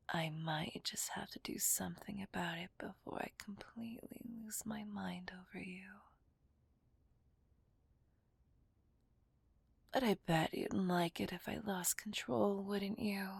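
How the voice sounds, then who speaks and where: A woman's voice: mid-range voice, gravelly, slightly rough, no echo, exaggerated voice. A woman playing a seductive, possessive female villain in a whispered ASMR roleplay, teasing and dominant, speaking breathily close to the microphone. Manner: teasing, dominant, drawn-out delivery. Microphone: close microphone, dry sound.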